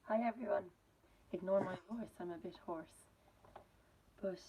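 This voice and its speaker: Hoarse Irish woman, earnest poem reading, lowish voice, faint hiss behind. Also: darkish tone, poor audio. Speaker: poet reading own poem. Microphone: video call.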